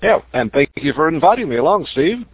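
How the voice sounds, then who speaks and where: A husky man, a guest author chatting in an interview in a friendly way. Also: on the high side, very animated, fairly quick, no echo. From New Zealand. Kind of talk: interview chat. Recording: tinny dry phone-like line.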